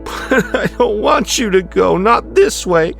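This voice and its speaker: Man acting a high, cracking, wailing mother. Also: expressive, measured pace, gravelly, exaggerated voice. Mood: terrified anguish. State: crying. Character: anguished mother, pleading and wailing for her son. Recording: music behind the voice.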